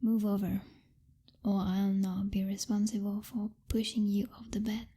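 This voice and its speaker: A woman playing a possessive, jealous vampire girlfriend who is commanding but secretly tender, in a soft, intimate ASMR whisper close to the microphone. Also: mid-range voice, little pitch movement, breathy edge, close to an everyday voice. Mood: grudgingly affectionate. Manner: slow, teasing, domineering, with lingering pauses and hums.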